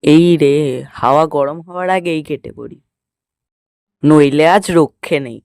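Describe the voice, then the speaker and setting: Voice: mid-range voice, a little slow, a little mellow, clear, resonant tone, no echo, studio quality, close to an everyday voice. A performer voicing a cheeky, fearless young schoolboy in a cartoon, exclamatory and plaintive in manner. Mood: anxious. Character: cheeky fearless schoolboy, reluctant pupil, little brother. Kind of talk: Bengali cartoon voice acting.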